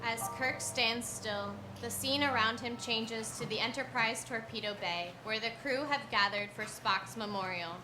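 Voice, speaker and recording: A woman's voice: a little high, normal speed, hardly any pauses, very noisy. A woman reading stage directions as scripted narration at a live performance. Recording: echoey live stage with loud music. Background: music.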